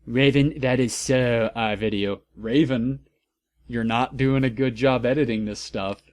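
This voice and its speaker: A man reading a story aloud for comedy, deadpan and mocking, with sarcastic asides. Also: mid-range voice, measured pace. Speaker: host of a fan fiction reading channel.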